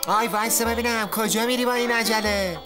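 An actor playing a nosy, insistent bystander in a cartoon, calling out in an exclamatory way. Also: male voice, high-pitched, steady tone, lightly acted. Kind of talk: comic cartoon acting. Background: music.

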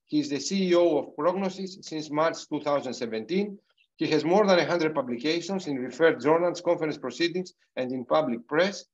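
A man's voice: on the high side, fairly quick. A middle-aged man with a non-native English accent hosts an online webinar, introducing a guest with many fillers and restarts over a video call. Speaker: host of an online university webinar. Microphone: computer mic on a video call. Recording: dull, muffled video call audio.

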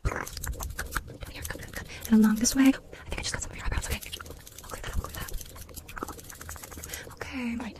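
A woman's voice: a little high, steady tone, moderate pace, long pauses. A woman performing an ASMR hairdresser role-play, repeating short, soothing instructions to the listener.

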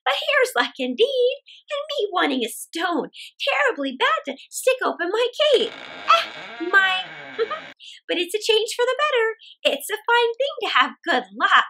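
A cheerful old country woman from a children's storybook, acted out by a woman reader, giggly and endlessly optimistic. Her voice is very high and bright and swoops wildly as she exclaims, with music behind. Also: big pitch swings, measured pace, slight background noise, exaggerated voice, poor audio. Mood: contented.

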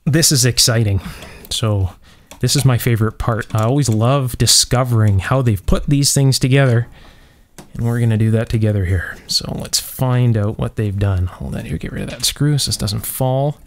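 A man with a medium-pitched voice gives an unscripted workshop demonstration. He thinks aloud as he goes, sounding keen and full of wonder at the equipment he is showing. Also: normal speed. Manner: enthusiastic, marveling, thinking aloud. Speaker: host of an electronics restoration video series. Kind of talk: unscripted hands-on demonstration commentary. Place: indoor electronics workshop at a bench.

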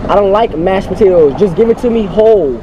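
A man's voice: high voice, brisk, muffled recording. A young man making casual, mock-earnest prank banter as a customer, talking from inside a car. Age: young adult. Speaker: prankster customer at a drive-thru. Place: inside a car at a drive-thru. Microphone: handheld camera in the car. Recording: noisy car and outdoor ambience.

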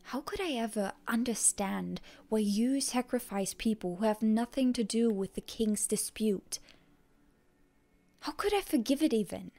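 A woman playing a proud, stubborn young heroine in an ASMR roleplay, in a breathy, often whispery voice with indignant bluster. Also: on the high side, darkish tone. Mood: scornful, hurt. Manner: indignant, halting, tsundere bluster. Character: proud, stubborn wounded young heroine, defiant toward her enemy.

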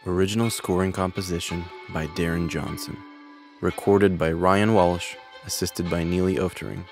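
A man's voice: deep voice, steady tone, normal speed. A man reading scripted credits as the announcer of an audio production. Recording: music underneath.

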